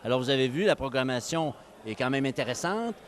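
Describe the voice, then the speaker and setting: A man with a Québécois French accent delivers a formal public announcement as a local official. He speaks at an ordinary pitch and stumbles often, filling gaps with hesitant sounds. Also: lively intonation, a little fast. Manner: hesitant, many fillers. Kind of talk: press announcement.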